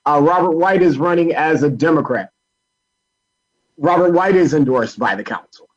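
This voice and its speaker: Male American political interviewee, slightly high voice, halting delivery with restarts, muffled low-fidelity recording. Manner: halting, repeats words while explaining. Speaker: guest, labor council political director. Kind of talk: radio interview, political advocacy talk.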